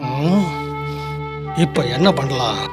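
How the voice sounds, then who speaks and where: A man playing a trusting landowner and father in a Tamil radio drama, with a commanding, expressive delivery. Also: mid-range voice, slow pace, music in the background. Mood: troubled, hopeful.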